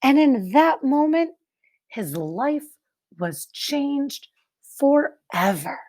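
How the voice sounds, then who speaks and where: A woman reading a picture book aloud like a teacher, expressively, with deliberate dramatic pauses and emphasis for young listeners. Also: sits high, big pitch swings, darkish tone. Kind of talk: expressive children's read-aloud narration.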